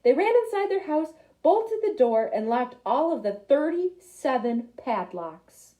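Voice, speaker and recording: A young woman reads a children's picture book aloud in a high, very lively voice. Her tone is mellow and she takes her time, adding asides for young listeners. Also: unhurried. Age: young adult. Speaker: library storytime reader.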